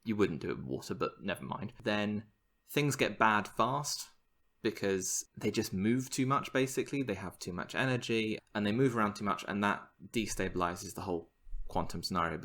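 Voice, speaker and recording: A man's voice: lowish voice, moderate pace, a bit bright. A man co-hosting a podcast, explaining science news in a casual, enthusiastic way. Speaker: podcast co-host.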